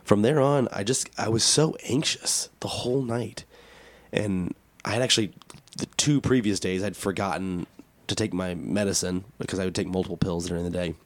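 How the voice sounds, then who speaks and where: An American man co-hosting a talk podcast in casual chat, joking and self-deprecating, with a raspy voice from a cold. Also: low voice, moderate pace, dry recording. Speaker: podcast and radio show co-host.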